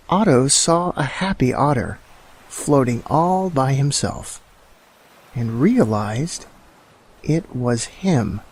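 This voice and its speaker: A man reading children's bedtime stories aloud in a slow, gentle storybook manner. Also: mid-range voice, slightly rough, poor audio.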